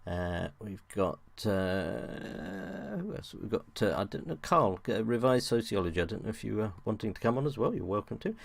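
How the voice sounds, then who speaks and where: Male host, deep and gravelly, hesitant casual patter. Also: sing-song, moderate pace, hardly any pauses, no room sound. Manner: loose and hesitant, many ums and restarts. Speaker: host of an online audio show. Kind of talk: casual live show chat.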